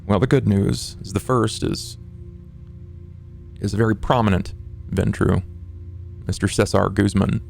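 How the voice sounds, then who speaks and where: A very deep, creaky voice for a courtly and macabre vampire fixer, acted by a man in a tabletop game. He speaks formally and ornately, in measured phrases, over music. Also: steady tone, darkish tone. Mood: grave. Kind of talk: improvised tabletop roleplay drama.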